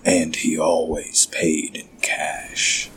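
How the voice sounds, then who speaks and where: A man narrating a scripted horror story in a gravelly, ominous, measured way. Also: very deep voice, little pitch movement, in a whisper, poor audio.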